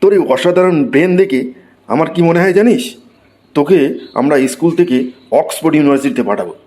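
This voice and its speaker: A man voicing an excitable, exasperated schoolteacher who scolds and exclaims in a comic cartoon. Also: fairly high pitch, fast, lightly acted. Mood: quizzing. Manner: scolding, exclamatory, mock-praising. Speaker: cartoon voice actor. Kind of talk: comic Bengali cartoon dialogue.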